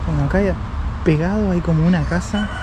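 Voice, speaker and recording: Excited Argentine man vlogging outdoors. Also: high voice, brisk, background noise. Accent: Argentine Spanish. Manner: chatty, laughs often, excited exclaiming. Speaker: urban explorer vlogger. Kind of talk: casual walkthrough chat. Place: outdoors in an abandoned roadside building. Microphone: handheld camera held at arm's length.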